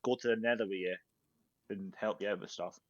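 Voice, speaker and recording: A man's voice: lowish voice, steady tone, brisk, highs cut off, a little hiss or hum. A British man chatting casually while playing a game with friends, lightly mocking them. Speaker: guest player. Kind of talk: casual gaming chat.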